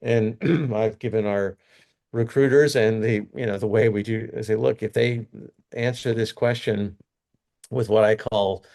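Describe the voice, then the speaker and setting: A man's voice: lowish voice, fairly even intonation, measured pace, creaky, a little mellow. A middle-aged man, a company executive, giving earnest, rambling interview answers full of restarts and fillers.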